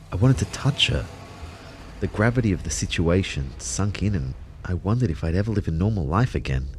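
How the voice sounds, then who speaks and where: Kyle, a deep-voiced, melancholy narrator. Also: male voice, darkish tone, slight background noise. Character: Kyle, melancholy ghostly man narrating his own story. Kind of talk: first-person scripted story narration.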